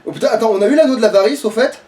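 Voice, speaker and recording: High-voiced sweary man, distant mic. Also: very fast, dark tone. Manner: sweary, grumbling, sarcastic. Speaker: let's player, playing the game. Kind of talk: casual gaming commentary with a friend. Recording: distant mic, game music behind.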